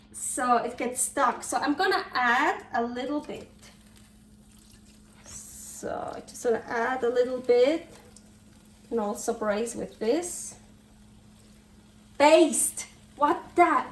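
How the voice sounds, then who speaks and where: A woman with a Swiss German accent talks to herself while she cooks, her voice high and her pace unhurried. She chats and corrects her own words as she goes. Her kitchen sounds echoey, and the mic picks her up from a distance. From Switzerland. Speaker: cooking channel host. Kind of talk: casual cooking vlog. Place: home kitchen.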